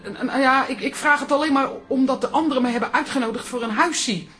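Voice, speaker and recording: A woman acting a wry, self-pitying older aunt in a radio comedy drama. Her voice is on the high side and she tends to falter, always short of money. Music plays clearly behind her. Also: fast, lightly acted. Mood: hesitant pleading.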